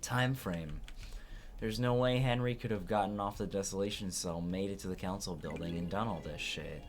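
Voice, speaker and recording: A man's voice: low-pitched, moderate pace. A man reading courtroom game dialogue aloud as a let's player, with casual asides.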